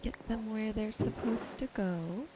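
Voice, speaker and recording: Slow, soft-spoken woman doing gaming ASMR. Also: fairly high pitch, very noisy. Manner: soft-spoken, gentle musing to herself while sorting. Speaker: gaming video host. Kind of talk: ASMR gameplay commentary.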